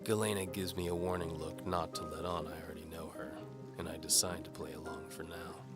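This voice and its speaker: A man's voice: sits low, little pitch movement, fairly quick, over loud music. A man narrating a fantasy audio drama in the first person with a dry, wry, hard-bitten noir delivery.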